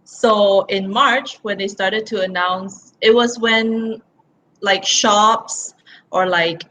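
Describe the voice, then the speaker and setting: A woman's voice: mid-range voice, fairly even intonation, slow, fairly bright tone, a little hiss or hum, rough recording. A young woman from Malaysia, a university student, answering casually with many likes and fillers. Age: young adult.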